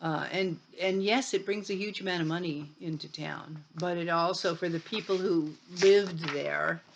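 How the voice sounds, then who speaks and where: A woman's voice: on the low side, gravelly, muffled recording, a little hiss or hum. A middle-aged woman from Ireland with an Irish accent, giving a conversational university lecture full of restarts and asides. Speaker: college lecturer.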